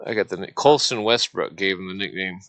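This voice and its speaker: A man hosting a casual, rambling discussion, speaking haltingly and stammering over his words. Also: low voice, sharp tone.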